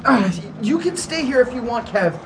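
A woman's voice: on the high side, lively intonation, normal speed, a little hiss or hum, natural voice. Eager, bold young shutterbug played by a woman. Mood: excited, daring. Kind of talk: full-cast audio drama acting.

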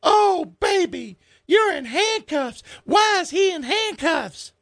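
Brief: a drunk, shrieking mother, very high-pitched and wailing, slurring her indignant words in a dry, close recording. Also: animated, takes it slowly, fairly bright tone, no room sound, heavily acted.

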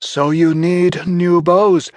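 A man voicing a cold, calculating warrior who speaks slowly and with menace. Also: high-pitched, level tone, a little mellow, no echo, voice pushed up.

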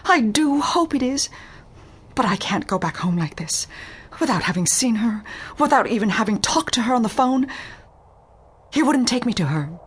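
An actress playing a timid, refined young woman client in a detective audio drama, speaking in a halting, pleading manner. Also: a little high, big pitch swings, husky. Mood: distressed, pleading.

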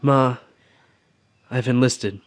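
An actor playing a restless, brooding young Civil War recruit in a radio drama, earnest in manner, with an American accent. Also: male voice, medium pitch, steady tone, very slow. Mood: hesitant. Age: young adult. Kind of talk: radio drama acting and first-person narration.

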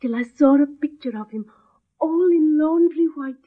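Woman as a very high-pitched naive servant girl. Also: normal speed, natural voice. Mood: wistful, admiring. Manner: artless, earnest, deferential. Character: naive, chatty young servant girl, eager, admiring. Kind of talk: early radio drama acting. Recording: tinny, band-limited old radio recording.

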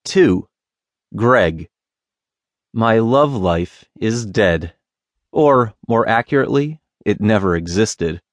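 Casting: lonely American male zombie, wry and casual, lowish voice, close dry studio sound, audiobook. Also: animated, takes it slowly, long pauses, no room sound. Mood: wry, sheepish. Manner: wry, casual, self-deprecating. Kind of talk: first-person audiobook character narration.

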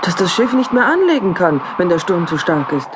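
A voice actress playing the elder's frightened daughter in a radio play, anxious and pleading. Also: female voice, fairly low pitch, big pitch swings, a little fast, background noise. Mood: fearful.

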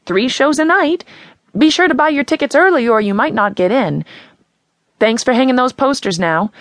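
A woman reading a story voices a smooth-talking male carnival barker with a put-on Midwestern accent and a salesman's patter. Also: high voice, expressive, normal speed, no echo, clean studio sound, voice pushed up. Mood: folksy, persuasive.

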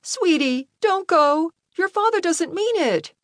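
A woman's voice: high voice, expressive, moderate pace, no echo, studio quality, pitched above the performer's own voice. A woman voicing a loving, emotional mother, tender and anxious for her child, in an audiobook story. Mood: distressed. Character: loving, distressed mother soothing and pleading.

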